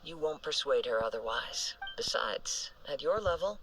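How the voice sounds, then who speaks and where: A man voicing a reserved young wandering poet swordsman in a game, measured and formal, over background ambience. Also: medium pitch, lively intonation, over music, natural voice. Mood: calm, reserved. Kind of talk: game voice acting. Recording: noisy game ambience behind.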